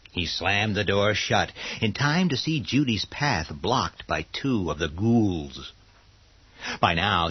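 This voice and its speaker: Husky man narrating a horror story. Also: on the low side, no room sound. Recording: very dry, dull lo-fi sound.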